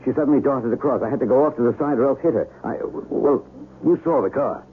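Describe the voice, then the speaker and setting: An actor playing a rattled, haunted traveler in a 1970s radio drama, talkative and earnest, with a hesitant stammer. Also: male voice, medium pitch, fast talker, natural voice. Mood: agitated, insistent. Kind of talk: 1970s radio drama acting with first-person narration. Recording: tinny, hissy 1970s radio broadcast.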